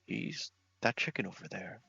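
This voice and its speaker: A man's voice: low voice, expressive, moderate pace, vocal fry, bright, forceful tone, husky, dry recording, highs cut off, faint music behind, full character voice. A man voicing an eccentric, polite, muddled scientist with fussy speech in an ASMR game roleplay. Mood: matter-of-fact, genial.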